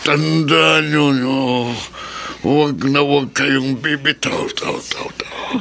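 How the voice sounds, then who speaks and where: Gravelly, slow old Filipino healer man. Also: a little high, fairly even intonation, sharp tone, full character voice. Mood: commanding, chanting. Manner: echoes his own last syllables, chants odd refrains. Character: old village folk healer, solemn, eccentric, commanding. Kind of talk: Filipino radio horror drama acting.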